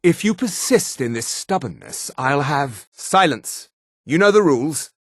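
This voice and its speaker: Male high elf enforcer, fantasy game villain, imperious, clipped, disdainful, very animated pitch, rough edge. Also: unhurried, no echo, studio quality. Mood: cold, contemptuous. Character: haughty, menacing high elf enforcer and interrogator. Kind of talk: scripted fantasy video game dialogue.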